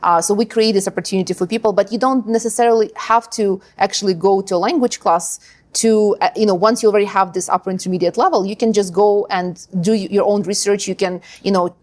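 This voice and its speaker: Woman, from Ukraine, podcast guest, conversational, filler-heavy, medium pitch. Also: fairly even intonation, quick pace, a little mellow. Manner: chatty, many fillers and "right?". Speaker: guest, language school founder. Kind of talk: podcast interview.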